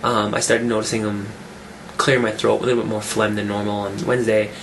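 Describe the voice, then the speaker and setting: A man rambles through a casual update, his voice roughened by a cold he is getting over. He sits on the low side and keeps circling back to restart his sentences. A steady hiss of noise runs under the recording. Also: fast, low-quality recording. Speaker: fitness vlogger. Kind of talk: casual weekly update vlog.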